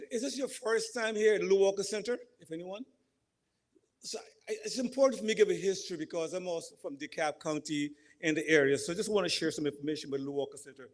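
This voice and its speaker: A man from Guyana with a Guyanese accent, giving an emotional, halting speech at a community reception. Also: high voice. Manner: emotional, halting, repeats and corrects himself. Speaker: event speaker. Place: community center hall.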